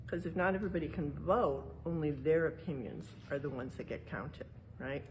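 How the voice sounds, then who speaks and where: A woman reading a picture book aloud in a museum exhibit like an educator, pausing for casual explanations and checking in with little tag questions. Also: sits low, darkish tone, slight background noise, rough recording. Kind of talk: read-aloud storytime with casual explanatory asides.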